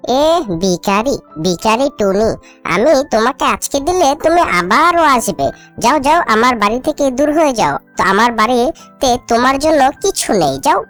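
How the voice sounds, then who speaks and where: A woman's voice: high voice, expressive, a little slow, fairly bright tone, soft background music. A woman voicing a selfish wife crow in a children's cartoon, whiny and insistent. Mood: scornful.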